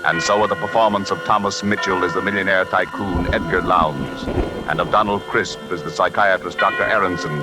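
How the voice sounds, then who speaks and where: Loud music plays behind a low-voiced man. He is a vintage radio announcer, reading scripted narration with solemn, deliberate phrasing. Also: steady tone.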